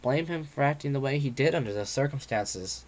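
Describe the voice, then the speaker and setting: A man voicing a calm, quiet young female swordswoman, speaking softly and flatly. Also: mid-range voice, fairly quick, slight background noise, natural voice. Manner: soft, flat, composed.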